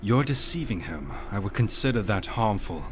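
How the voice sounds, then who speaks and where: A man's voice: lowish voice, lively intonation, normal speed, faint music behind, close to an everyday voice. A man playing a blunt, righteous hero in a fantasy video game. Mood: stern, defiant. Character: stern heroic male protagonist, blunt and righteous.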